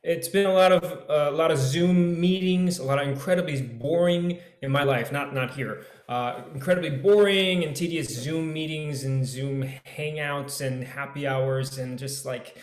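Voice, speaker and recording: A man speaking casually on a panel in a halting, thoughtful manner, as an actor introducing himself. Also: a little high. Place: indoors on a video call. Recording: echoey room sound.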